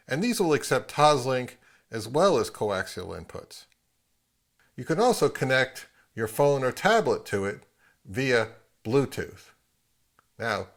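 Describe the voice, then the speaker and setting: A middle-aged man narrating a product review in a conversational, musing way, often posing rhetorical questions as the host. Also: mid-range voice.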